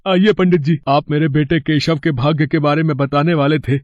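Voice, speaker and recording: A man's voice: a little high, steady tone, normal speed, darkish tone, muffled recording, a little hiss or hum, close to an everyday voice. A man voicing a proud rich merchant. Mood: welcoming. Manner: indignant, boastful.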